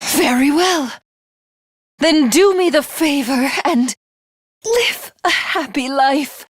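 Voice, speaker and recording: An imperious alien warlord woman who believes herself divine. Her speech is slow, formal and theatrical, with highly expressive pitch movement. It is a video game character voice in a dry, clean recording. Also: no room sound. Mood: tender, resigned. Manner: haughty, formal, theatrical, deliberate. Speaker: voice actress.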